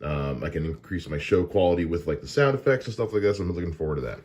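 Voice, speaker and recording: A deep, low-pitched man speaks into a dynamic broadcast mic in his home studio. He explains things in an easygoing way and often pauses on "uh" as he goes, like a podcast host showing off his setup. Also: husky. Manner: relaxed and explanatory, with frequent "uh" fillers. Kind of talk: casual gear unboxing walkthrough.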